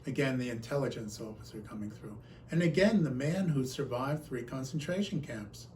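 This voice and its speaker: A man speaks as a conference storyteller, relaying a personal anecdote and its dialogue in an expressive way. His voice sits at an average pitch for a man. There is audible noise behind him in the recording. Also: normal speed. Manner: animated storyteller, acts out quoted exchanges.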